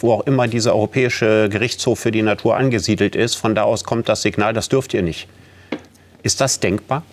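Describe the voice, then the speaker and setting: Low-voiced probing male interviewer. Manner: thinks aloud, hypothetical questions, conversational.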